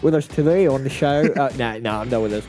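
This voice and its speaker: A man's voice: mid-range voice, fast talker, darkish tone, faint music behind. A young man co-hosting a podcast, upbeat and joking as he introduces and questions the guest. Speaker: podcast co-host. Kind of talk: casual podcast chat.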